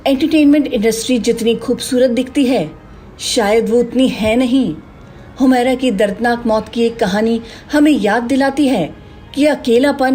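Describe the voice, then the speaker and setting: A woman's voice: a little high, darkish tone. A woman reading a scripted, dramatic news narration. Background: background music.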